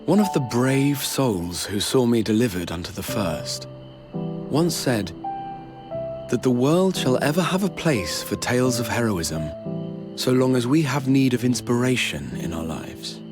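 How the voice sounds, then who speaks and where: Man acting an expressive, noble guardian mage. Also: mid-range voice, lightly acted. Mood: wistful. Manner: formal, eloquent, measured archaic phrasing. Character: noble, courteous male guardian mage, weary but hopeful. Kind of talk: dramatic fantasy video game acting. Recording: orchestral music behind the voice.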